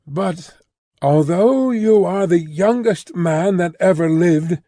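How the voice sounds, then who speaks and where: A man voicing an earnest, restless young gentleman in formal, thoughtful, hesitant audiobook dialogue. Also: sits high, slow, no room sound, pitched above the performer's own voice.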